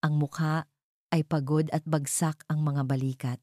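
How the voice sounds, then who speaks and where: Woman telling a dramatic story, low mellow voice, super dry recording. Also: flat delivery, measured pace, no room sound. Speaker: audio drama narrator. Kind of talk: scripted dramatic storytelling narration.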